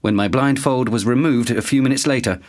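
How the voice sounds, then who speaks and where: An actor as a sober male investigative reporter in an audio drama. He narrates a grim exposé in documentary style, with a middle-pitched voice and a grave, deliberate delivery. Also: lightly acted. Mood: grave, urgent.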